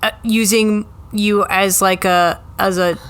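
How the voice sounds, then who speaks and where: A woman hosting a comedy podcast, playful and quick to set up jokes. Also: mid-range voice, fairly even intonation, smooth. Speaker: podcast host. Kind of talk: casual comedic chat.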